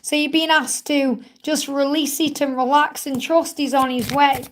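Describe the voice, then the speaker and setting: High-pitched woman reading cards live, slightly croaky. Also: flat delivery, normal speed, slight background noise. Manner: gentle, reassuring, addresses viewers as honey. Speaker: spiritual card reader hosting a live Q&A. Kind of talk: live-stream card reading, chatty.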